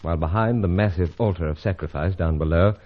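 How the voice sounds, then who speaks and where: A man acting a steady, gentlemanly British secret agent with clipped, composed speech in an old-time radio adventure serial. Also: low voice, fast talker, narrow, tinny audio. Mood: grave narrating.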